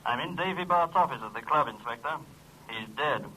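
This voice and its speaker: Matter-of-fact detective, telephone-filtered, clipped and bright, slightly noisy vintage radio recording. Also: male voice, medium pitch, animated, brisk. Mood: urgent, factual. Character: terse male police detective reporting by telephone. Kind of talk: old radio crime drama acting.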